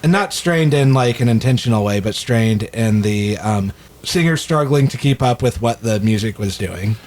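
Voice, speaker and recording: Slightly raspy man in casual discussion. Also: on the low side, lively intonation, moderate pace, a little mellow. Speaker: podcast co-host. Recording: clear background noise.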